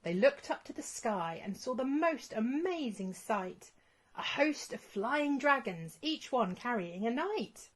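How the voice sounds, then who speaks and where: A woman reading a rhyming children's storybook as scripted narration, in an expressive storytelling manner. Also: fairly high pitch, a little slow, low-quality recording.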